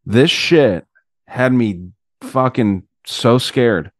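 A man's voice: medium pitch, drawn-out words, no echo, clean studio sound. A man hosting a podcast in casual chat, talking in a profane, slangy way. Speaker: podcast host.

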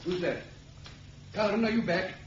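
A man playing an anxious, hurried intelligence chief in a 1970s radio spy drama. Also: high voice, natural voice. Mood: urgent, relieved. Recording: muffled old radio recording, faint noise.

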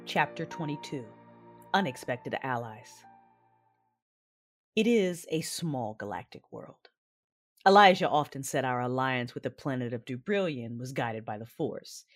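A woman playing a cool, shrewd strategist with a dark streak, narrating in the first person in a sci-fi audio drama. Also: a bass voice, dry recording, heavily acted.

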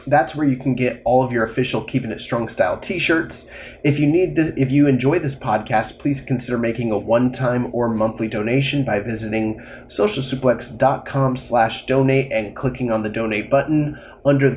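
A man's voice: mid-range voice, darkish tone, slight background noise. A man hosting a solo podcast, a little congested and slightly nasal, talking casually and rambling with frequent fillers. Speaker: podcast host.

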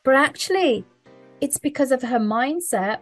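Woman coach, higher register, earnest and emphatic, repeats for stress, light music bed. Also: lively intonation, moderate pace. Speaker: mindset coach and hypnotherapist. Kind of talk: conversational podcast talk.

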